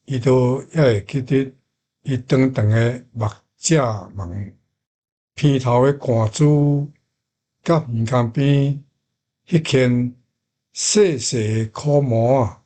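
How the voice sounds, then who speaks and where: A man with a Taiwanese accent reading translations deliberately, phrase by phrase, as a teacher. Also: medium pitch, dark tone.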